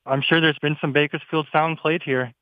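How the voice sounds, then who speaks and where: A man's voice: a little high, steady tone, moderate pace, no echo. Man in his thirties on a tinny phone. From Minneapolis. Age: 30s. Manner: friendly, jokey, hesitant fillers. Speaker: caller. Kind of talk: phone call to a radio show.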